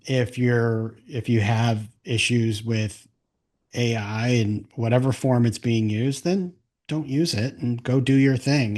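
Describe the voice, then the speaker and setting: A man with an average-pitched voice speaks as a podcast host. His delivery is conversational and plain-spoken, with an explanatory bent, as in an informal business interview. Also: a little mellow.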